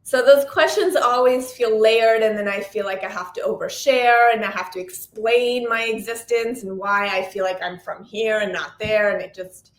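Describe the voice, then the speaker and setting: A woman's voice: medium pitch, moderate pace. A woman with an American accent speaking reflectively and casually as an interview guest, often restarting and rephrasing her thoughts. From Sri Lanka, United States (Massachusetts) and France. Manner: reflective, self-correcting, stop-and-start. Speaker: interview guest, producer and journalist. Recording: roomy echo.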